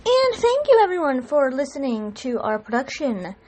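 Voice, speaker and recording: A woman's voice: high-pitched, sing-song, moderate pace, darkish tone. A woman presenting an audio production and addressing its listeners in a sign-off.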